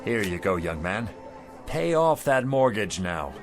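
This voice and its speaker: A man acting an excitable, generous married pawnshop owner in a radio drama, speaking in a folksy, expressive way. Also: lowish voice, a little slow, soft background music. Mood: kindly.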